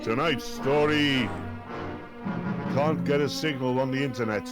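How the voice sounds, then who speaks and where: An excitable man co-hosting a joking commentary on old radio shows, repeating phrases as he goes. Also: medium pitch, unhurried, loud music behind the voice. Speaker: podcast co-host. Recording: loud overlapping chatter and playback.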